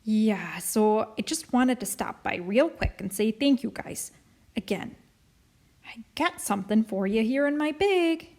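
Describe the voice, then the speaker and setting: A woman's voice: a little high, lively intonation, a little slow, a little mellow. A woman voicing an eccentric, kindly older neighbor lady in an audiobook, with talkative, colloquial, folksy phrasing. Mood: grateful. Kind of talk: audiobook character voice.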